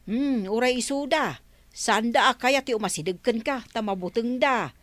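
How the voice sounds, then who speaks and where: A woman's voice: fairly high pitch, normal speed, dry recording, lightly acted. A woman playing a frank, teasing villager in an Ilocano radio comedy drama, chatty and full of little interjections.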